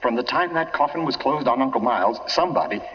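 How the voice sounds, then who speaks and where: A man's voice: mid-range voice, expressive, quick pace, lightly acted. An actor playing an earnest, anguished man who urgently presses his case, in 1940s radio drama style. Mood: alarmed, insistent. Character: anguished man suspecting poison in his uncle's death. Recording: muffled 1940s radio broadcast recording.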